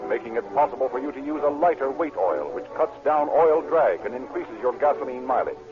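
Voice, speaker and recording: A man announcing a vintage radio program and reading its sponsor's ad. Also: mid-range voice. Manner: brisk, salesmanlike. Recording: tinny vintage radio recording with music.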